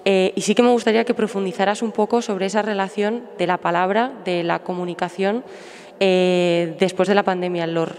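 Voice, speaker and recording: A woman from the audience politely asking the speaker a question, halting and repeating words. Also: medium pitch, steady tone, a little mellow. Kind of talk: formal question. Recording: echoey hall.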